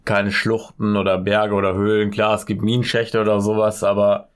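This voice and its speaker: A man co-hosting a casual true-crime podcast, relaxed as he tells the case details. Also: fairly low pitch, moderate pace, clear, resonant tone. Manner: relaxed, laid-back, reads out case details. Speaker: podcast co-host.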